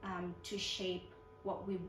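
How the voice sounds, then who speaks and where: Woman from Kenya, nurse, hesitant casual answers, lowish voice, far from mic, roomy echo. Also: monotone, takes it slowly. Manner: hesitant, with frequent ums and restarts. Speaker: nurse, interview guest. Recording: echoey room, distant mic, music behind.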